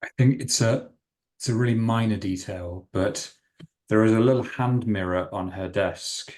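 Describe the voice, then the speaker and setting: A man's voice: fairly low pitch, moderate pace, a little mellow. A man playing a tabletop roleplaying game, describing a scene in careful, improvised detail.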